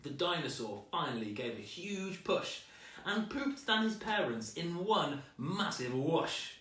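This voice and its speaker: A man's voice: fairly high pitch, big pitch swings, unhurried, fairly bright tone. A man reading a children's storybook aloud with dramatic, rhythmic delivery, recorded at a distance in an echoey room.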